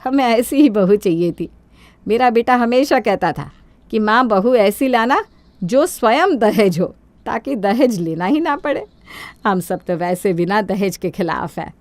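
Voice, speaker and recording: A woman plays a scheming middle-aged mother-in-law in a cartoon moral tale. The voice sits at a medium pitch with a dry, close sound. She is sugary, theatrical and wheedling, with greed tucked under false modesty. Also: expressive, a little slow, a little mellow, dry recording, close to an everyday voice. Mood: sugary, persuasive. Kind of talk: Hindi cartoon moral-story dubbing.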